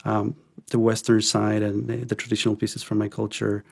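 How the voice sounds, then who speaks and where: Low gravelly man from Ecuador. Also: normal speed, slight background noise. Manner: relaxed, hesitant with many ums and restarts. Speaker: podcast guest. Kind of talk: casual interview conversation.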